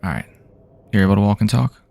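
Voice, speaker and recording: Very deep, gravelly man in ASMR roleplay. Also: expressive. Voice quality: husky, gravelly, soft-edged voice. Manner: hesitant, halting, casual phrasing. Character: easygoing survivor guiding a friend through post-apocalyptic wasteland. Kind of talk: improvised ASMR roleplay, addressing the listener as a companion.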